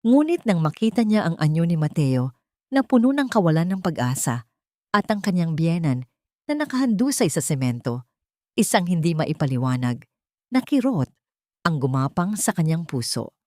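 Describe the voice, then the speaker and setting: A woman narrates a dramatic story in a solemn, measured way. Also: low-pitched, big pitch swings, mellow, no echo, studio quality.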